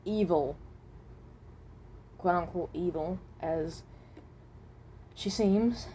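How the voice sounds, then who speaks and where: A hesitant, mild-mannered traveler from another dimension, played by a woman, speaks in a dark, mellow and fairly low voice. The delivery is halting, with trailed-off phrases and rephrasing. It is an amateur recording with slight background noise. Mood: thoughtful, reassuring. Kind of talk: improvised in-character voice lines.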